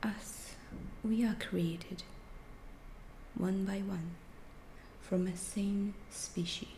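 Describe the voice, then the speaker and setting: A woman playing a calm, curious female alien who studies humans, whispering slowly and deliberately in an ASMR roleplay. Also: mid-range voice, echoey room, a little hiss or hum. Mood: curious, hushed. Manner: hushed, slow, deliberate, clinical phrasing.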